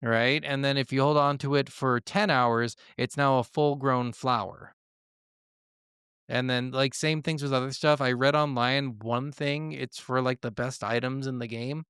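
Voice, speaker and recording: Gravelly-edged man chatting casually about games. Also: medium pitch, steady tone, unhurried, fairly bright tone, no echo, clean studio sound. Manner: profane, enthusiastic. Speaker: podcast co-host. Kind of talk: casual gaming podcast chat.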